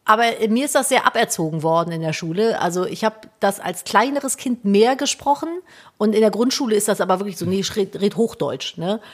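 A woman's voice: medium pitch, animated, a little fast. A young woman from Cologne with a light Cologne accent, co-hosting a casual podcast chat in a blunt, jokey way and swearing freely. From Cologne, Germany. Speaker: podcast co-host.

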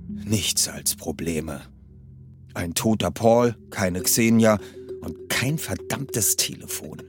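A man's voice: sits low, a little mellow. A man reading a suspense story aloud in a tense, dramatic narration style. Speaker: reader. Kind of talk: audiobook narration.

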